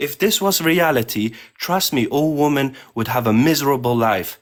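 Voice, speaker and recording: A man's voice: mid-range voice, expressive, fairly quick. A man in his thirties arguing his side in a heated debate. He stammers and repeats words as he pushes back, and he is heard over a remote call. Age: 30s. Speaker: debate participant.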